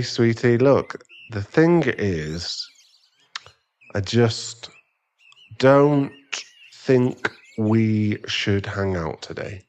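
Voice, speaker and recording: Male actor as weary irritable boyfriend, ASMR audio drama, unhurried halting delivery, very varied intonation, exasperated. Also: fairly low pitch. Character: irritable, weary sugar daddy boyfriend wanting space.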